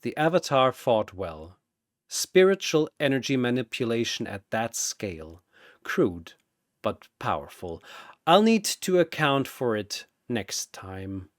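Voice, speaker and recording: A man's voice: mid-range voice, big pitch swings, takes it slowly, no echo, studio quality. A man voicing a cold, gloating mad scientist captain who speaks in a clinical, condescending, formal way, in a dramatic story. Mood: calculating.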